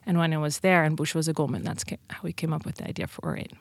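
A woman's voice: low-pitched, animated, rapid-fire, dry recording, studio quality. A woman in her thirties with a Dutch accent, chatting informally as she answers podcast interview questions and tells stories. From Netherlands. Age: 30s. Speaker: podcast guest, company co-founder.

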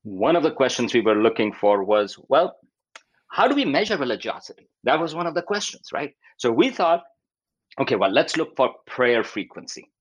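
Man in his fifties, Pakistani accent, mid-pitched voice, researcher, conversational explaining, slips into quoted voices. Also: big pitch swings, moderate pace, slightly rough. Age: 50s. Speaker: academic researcher, astronomer. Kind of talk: interview answers explaining research.

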